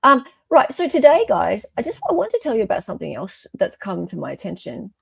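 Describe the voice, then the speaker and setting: Woman, Australian accent, casual opinionated host, gravelly fry, dry close sound, conversational. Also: mid-range voice, sing-song, fairly quick, no room sound. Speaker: live stream host.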